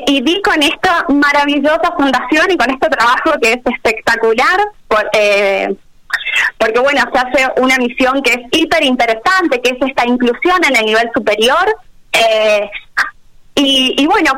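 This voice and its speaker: Fast-talking Argentine woman, high voice. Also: animated, a bit bright, low-quality recording. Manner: fast-talking, many hesitations. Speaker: special education teacher. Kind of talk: answering questions on a video call panel. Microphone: video call.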